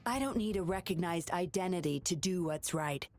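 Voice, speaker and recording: An actress playing a mysterious former patroller in a video game, composed, firm and solemn. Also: female voice, lowish voice, lively intonation, normal speed, darkish tone, faint music behind, natural voice. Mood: defiant, calm. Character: mysterious, resolute former patroller woman, cool and secretive. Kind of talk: dramatic video game voice acting.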